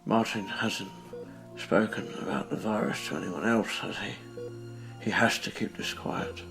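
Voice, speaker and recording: An actor playing a frail, earnest, stubborn old businessman who speaks haltingly and pleadingly in a radio play. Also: on the low side, vocal fry, over music, low-quality recording. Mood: anxious. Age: older.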